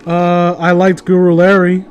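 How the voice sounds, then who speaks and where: Man, high voice, casual chat, profane, blunt, opinionated. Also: monotone, measured pace, faint music behind.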